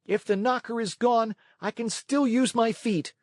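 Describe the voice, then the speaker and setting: A man voicing an impulsive wooden puppet boy in a children's storybook, earnest and excitable. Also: extremely high pitch, unhurried, dry recording, clean studio sound, voice pushed up. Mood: angry.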